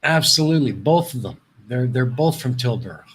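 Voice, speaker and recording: A man's voice: mid-range voice, lively intonation, normal speed, fairly bright tone, husky. A man who grew up in Canada with Dutch as his first language, hosting a casual live stream, rambling and repeating himself as he reads viewers' chat.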